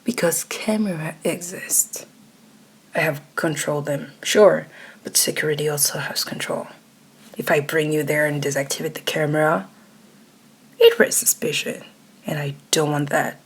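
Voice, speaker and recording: A woman voicing a domineering, smug male boss in a hushed, breathy, commanding manner for an ASMR roleplay. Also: low voice, fairly even intonation, moderate pace. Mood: smug, controlled.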